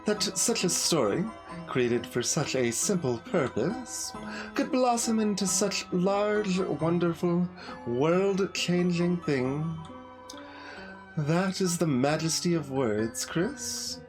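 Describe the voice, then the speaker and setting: Solemn male priest for a video game, voiced by a man playing along, reverent and unhurried, over music. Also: high-pitched, expressive, rough recording. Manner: measured, earnest, reverent storytelling. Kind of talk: video game dialogue read aloud. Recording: music behind the voice.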